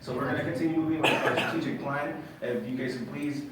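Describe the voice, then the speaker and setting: A man runs a council meeting, talking quickly and firmly as he issues warnings. He is heard from afar in a booming, echoing hall over a loud crowd. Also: steady tone, far from the mic. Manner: firm, rapid, scolding. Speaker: meeting chair.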